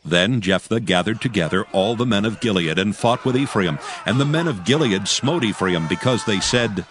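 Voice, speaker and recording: Low-voiced solemn male scripture narrator. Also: animated, a bit bright, slight background noise. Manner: solemn, measured.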